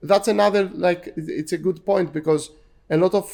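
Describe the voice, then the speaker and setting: A Greek-accented academic in a podcast interview, with a slightly raised pitch for a man. His voice has a gravelly fry, and he talks things through thoughtfully, repeating words as he searches for the next phrase. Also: fairly even intonation, quick pace, darkish tone. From Greece. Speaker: university professor, guest.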